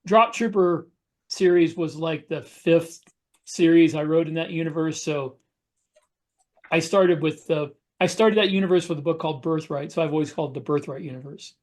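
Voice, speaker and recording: A man's voice: fairly high pitch, moderate pace, darkish tone. A man who is an author, explaining things casually as a podcast panelist.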